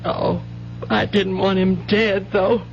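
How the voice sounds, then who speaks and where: Slow-spoken weary widowed mother, old radio. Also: mid-range voice, little pitch movement, darkish tone, soft background music. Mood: subdued, regretful. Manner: slow, halting. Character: weary middle-aged mother, newly widowed, resigned. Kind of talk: 1970s radio drama acting. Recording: dull vintage radio recording, faint hum.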